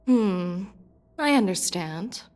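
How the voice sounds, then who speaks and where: A woman voicing a calm, perceptive video game character who speaks in a measured, deliberate way. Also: mid-range voice. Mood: calm, probing.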